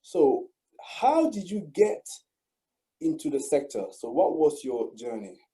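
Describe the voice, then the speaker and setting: A man's voice: fairly high pitch, very animated, takes it slowly, warm tone. A man hosting an online interview, speaking formally and repeating his phrases for emphasis. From Cameroon. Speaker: host and channel founder.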